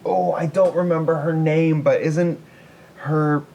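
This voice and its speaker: A man co-hosting a podcast in loose, unscripted chat, jokey and thinking out loud. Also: fairly high pitch, level tone, measured pace, creaky. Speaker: podcast co-host.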